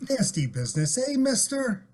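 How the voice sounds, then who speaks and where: A man's voice: extremely high pitch, big pitch swings, moderate pace, darkish tone, faint music behind. A man playing a chatty, earnest, plain-spoken young man in a radio play. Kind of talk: old-time radio play acting.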